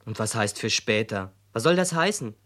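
An actor in a seventies radio play, playing a sceptical, contrary young son arguing with the parents. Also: male voice, lowish voice, expressive, normal speed, fairly bright tone. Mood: challenging. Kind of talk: 1970s German radio play acting.

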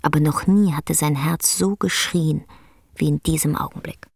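A narrator with a gravelly, husky voice, telling a story in a measured way for a radio drama. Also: medium pitch, fairly even intonation, no echo, clean studio sound.

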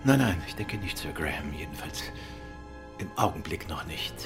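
A man acting a courteous, probing, self-assured gentleman detective in a German radio crime drama, questioning politely and with measured care. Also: extremely low pitch, expressive, music in the background. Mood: thoughtful.

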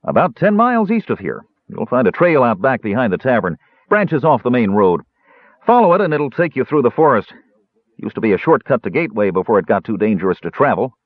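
A man voicing a rough, offhand woodland ranger who hunts goblins, in a fantasy audiobook. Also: mid-range voice, big pitch swings, brisk, dry recording, narrow, tinny audio, pitched above the performer's own voice. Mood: matter-of-fact.

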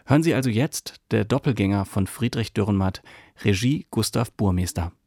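A male radio announcer giving a measured, formal, scripted introduction to a radio play. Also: lowish voice, animated, a little mellow, no echo, clean studio sound.

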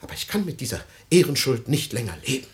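An actor playing an aggrieved, self-pitying middle-aged man with melodramatic, halting delivery in a 1950s radio play. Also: medium pitch, sing-song, natural voice. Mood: offended pride. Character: aggrieved, self-pitying married man, theatrically wounded and proud.

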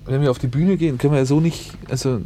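A man's voice: medium pitch, fairly even intonation, quick pace, over a noisy crowd. A man talking casually, as a band member among friends. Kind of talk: casual chat. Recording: very noisy room ambience. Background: loud room noise.

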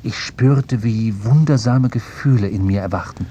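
An actor playing a reflective sailor who narrates a radio play in a measured, contemplative literary manner. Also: male voice, lowish voice, mellow, dull audio, slight background noise, close to an everyday voice. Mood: tender, reflective. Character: reflective sailor narrator recalling his voyage.

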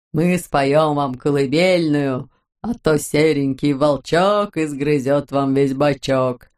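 A woman voicing a gentle old brain-eating husband who speaks slowly in a hoarse, wheezy voice. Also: deep voice, pitched below the performer's own voice. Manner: slow and soft-spoken.

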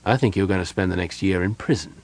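A man's voice: fairly low pitch, lively intonation, fairly quick, breathy edge. A man voicing a dutiful young knight, stern and formal, with a clipped and reluctant manner.

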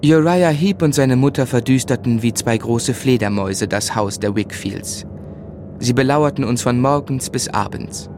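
A male actor plays an earnest, observant gentleman telling his story in a radio drama. The voice sits at medium pitch, with measured, literary delivery. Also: noisy background, natural voice. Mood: grave, observant. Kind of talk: scripted first-person narration in German radio drama.

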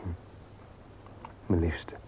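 An actor playing a mild, loving but cautious husband in a radio play, speaking in a measured, questioning way. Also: male voice, whispered. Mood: patient. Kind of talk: Dutch radio play acting. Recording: muffled old radio play recording.

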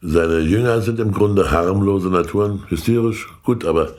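An actor playing a shrewd, blunt state councillor in a radio play, gruff and sardonic. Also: male voice, low-pitched, normal speed, a little mellow, close to an everyday voice. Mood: gruff, knowing.

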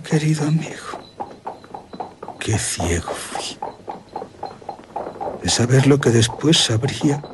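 An actor playing a grave, remorseful gentleman general from Spain, recounting a horror in a courtly, deliberate radio drama style. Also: mid-range voice, noisy background. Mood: anguished regret. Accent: Spanish from Spain. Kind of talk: radio drama acting, storytelling.

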